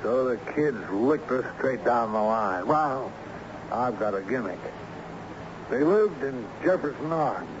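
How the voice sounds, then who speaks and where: Brisk scheming lawyer, muffled noisy recording. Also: male voice, medium pitch, lively intonation. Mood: impatient, bossy. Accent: American. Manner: commanding, businesslike, slangy. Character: brisk, scheming male lawyer leading a search. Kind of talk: American radio drama acting. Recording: muffled, noisy old radio drama recording.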